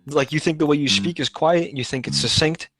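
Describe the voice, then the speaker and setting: A man's voice: a little high, fairly quick, music in the background. A man hosting a casual podcast over a voice call, rambling with many fillers and restarts. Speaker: podcast host.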